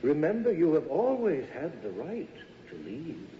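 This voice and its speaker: An actor playing an older professor in a radio drama, condescending and deliberate, with a quietly menacing edge. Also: male voice, on the high side, wildly swooping pitch. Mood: solemn, menacing. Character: old professor, patronizing, quietly menacing cult believer. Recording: muffled, noisy, reverberant radio drama recording.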